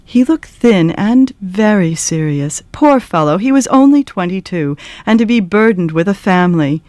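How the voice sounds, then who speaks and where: A woman narrating a classic story in an expressive, measured and dramatic scripted style. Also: mid-range voice, dry recording. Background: acoustic guitar music.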